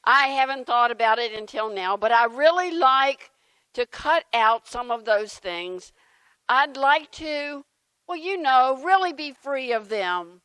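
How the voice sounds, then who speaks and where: A woman plays a defensive, easily flustered churchgoer talking to God in a comic reading. The voice is high and clear, with big swings and an unhurried pace. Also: no room sound, full character voice, low-quality recording. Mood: reluctant. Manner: animated, indignant, exclaiming.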